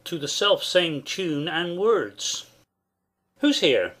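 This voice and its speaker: A man's voice: a little high, big pitch swings, slow pace, a bit bright, exaggerated voice. A man playing a noble, questioning soldier, declaiming in a dramatic Shakespeare reading. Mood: puzzled.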